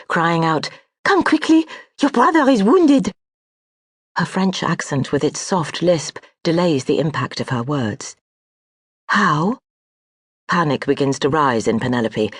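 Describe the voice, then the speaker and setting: An audiobook narrator reading historical fiction in a measured, even storytelling manner. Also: medium pitch, no echo. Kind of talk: scripted literary narration. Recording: dry close studio audiobook.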